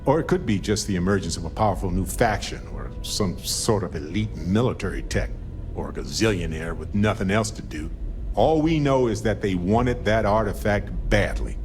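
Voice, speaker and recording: An actor playing an excitable, awkward space scientist in a video game, speaking in a halting, earnest, nerdy way. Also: male voice, fairly low pitch, faint music behind, lightly acted. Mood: thoughtful.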